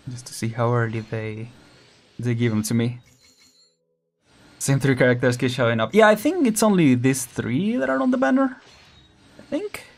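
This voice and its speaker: A man's voice: mid-range voice, lively intonation, normal speed, vocal fry, long pauses, soft background music. A young man casually commenting while streaming a game, chatty and laughing often, counting down as he plays. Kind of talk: casual live gameplay commentary.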